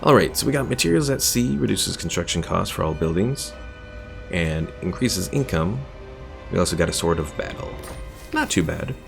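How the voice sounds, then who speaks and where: A man's voice: sits low, animated, normal speed, creaky. A young man casually commentating a strategy game stream, thinking aloud and explaining the mechanics as he plays. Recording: game music in background.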